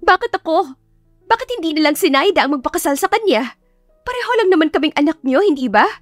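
A woman's voice: high voice, animated, quick pace, dry recording, soft background music, voice pushed up. A woman voicing a spoiled, scheming young sister in a melodramatic audiobook, whining, indignant and pleading with heavy emphasis. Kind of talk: audiobook character acting, melodramatic.

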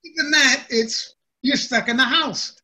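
Older man, halting speech, bright tone, home call. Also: extremely high pitch. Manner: halting, restarts often. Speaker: program participant. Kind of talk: casual video-call chat. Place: home room.

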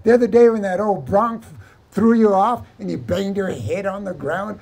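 Teasing ranch hand played by a man, husky, mock-sympathetic, from a cowboy yarn. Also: mid-range voice, a little fast, dark tone, voice pushed down. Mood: laughing, teasing. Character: teasing band of cowboys humoring a deluded friend.

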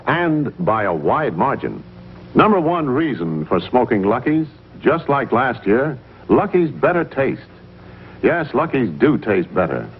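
Man, middle-aged, American accent, commercial pitch, lively intonation, narrow phone-like vintage soundtrack. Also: husky. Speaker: commercial announcer. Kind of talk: 1950s sales pitch. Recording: tinny, muffled 1950s broadcast soundtrack.

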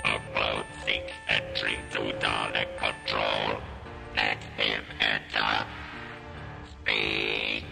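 Croaky robot alien overlord, super low and flat, barking cold orders, sci-fi audio drama. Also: male voice, over music, exaggerated voice. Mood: cold, commanding. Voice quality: croaking, grating mechanical monotone. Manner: slow, flat, commanding. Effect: electronic robot voice modulation. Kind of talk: science-fiction audio drama acting.

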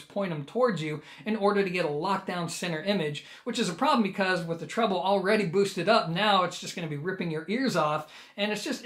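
A man in his thirties with an American accent and a fairly high-set voice. He talks to the camera as a casual reviewer, explaining things with clear emphasis on key points. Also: a bit bright. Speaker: audio gear reviewer.

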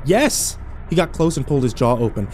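A man playing an overwhelmed, foul-mouthed young man who narrates his ordeal haltingly in a horror audio drama. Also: medium pitch, very animated, a little mellow, a little hiss or hum, close to an everyday voice. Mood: demanding. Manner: halting, self-interrupting, swearing, incredulous.

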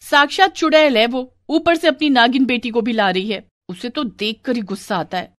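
A woman voicing a dramatic, excitable young bride-to-be in a cartoon family story. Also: a little high, normal speed, no room sound, clean studio sound, voice pushed up, natural voice. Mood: annoyed. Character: excited, giggly young bride-to-be, grumbling about relatives. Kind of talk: animated Hindi family story acting.

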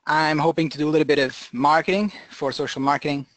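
A man from Portugal gives an informal webinar lecture, haltingly repeating words as he explains. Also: fairly high pitch, steady tone, a bit bright, dry recording, poor audio. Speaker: guest presenter, conservation marketing researcher.

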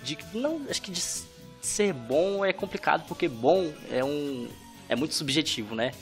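A Brazilian man with a Northeastern accent from Ceará, a guest illustrator chatting casually on a podcast, self-deprecating and quick to laugh, restarting his sentences often. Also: on the high side, expressive, soft background music. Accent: Brazilian Portuguese, Northeastern-influenced from Ceará. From Brazil, born in Fortaleza, raised in Brasília.

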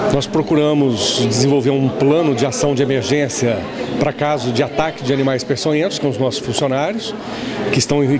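A middle-aged man speaking into a reporter's handheld mic, pausing and searching for words. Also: fairly high pitch. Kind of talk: news interview.

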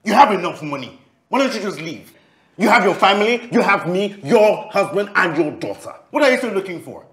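A man's voice: on the low side, normal speed, darkish tone, lightly acted. An actor playing a jealous, accusing lover and aggrieved father in a screen drama, questioning in a confrontational way. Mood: angry, exasperated. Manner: confrontational, interrogating. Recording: roomy echo, faint background noise.

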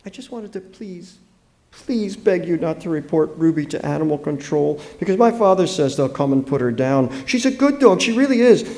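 Man voicing a pleading awkward girl, pitched up. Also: expressive, warm tone. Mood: anxious, pleading. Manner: earnest, halting, repetitive. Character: awkward pleading teenage girl, anxious to please.

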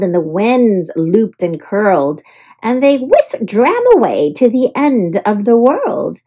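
A woman reading a children's picture book aloud as an expressive storyteller. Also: fairly high pitch, husky.

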